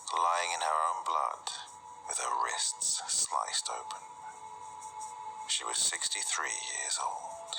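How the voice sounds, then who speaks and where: Hushed, breathy low male horror storyteller. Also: low-quality recording. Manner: hushed, deliberate, suspenseful phrasing. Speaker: host and storyteller. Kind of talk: scripted horror story narration.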